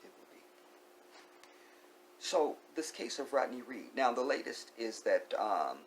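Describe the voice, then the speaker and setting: A man vlogging an opinionated monologue. He repeats key phrases, asks the viewer rhetorical questions and sometimes sinks to a hushed whisper. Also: medium pitch, sing-song, a little slow, slight background noise, poor audio.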